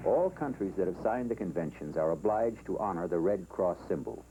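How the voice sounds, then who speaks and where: An American man reading scripted training film narration in a formal, measured, solemn manner. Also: low voice, animated, mellow, dull audio, slight background noise. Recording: 1970s film soundtrack with music. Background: background music.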